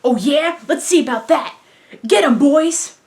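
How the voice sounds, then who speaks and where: A woman's voice: high-pitched, animated, measured pace, darkish tone, slight background noise, pitched above the performer's own voice. A woman voicing a big, dim, belligerent male thug in a cartoon, with slangy, ungrammatical tough-guy talk. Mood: furious, bellowing.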